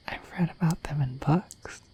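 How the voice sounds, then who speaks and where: A woman voicing a shy, affectionate, dorky dragon in a bashful, hesitant breathy whisper for an ASMR roleplay. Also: sits low, mellow. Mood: bashful, apologetic. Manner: bashful, hesitant, apologetic, rambling.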